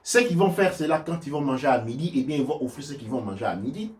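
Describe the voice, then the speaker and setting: A man giving religious teaching on offerings and prayers, speaking haltingly with many restarts and sudden shifts between loud and soft. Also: medium pitch, animated, distant mic. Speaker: spiritual teacher. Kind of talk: religious teaching in a live stream.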